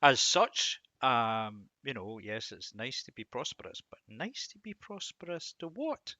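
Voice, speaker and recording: A man giving an informal devotional commentary on verses, musing and halting, with self-deprecating asides. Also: mid-range voice, very animated, sharp tone, no echo, highs cut off. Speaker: host of a Bible reading series.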